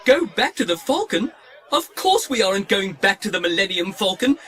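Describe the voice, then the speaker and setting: An actor playing a prissy, scrambled, recklessly giddy humanoid droid in an audio drama, with a fussy, theatrical delivery and music behind him. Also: male voice, sits high, big pitch swings, normal speed, very noisy. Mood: indignant.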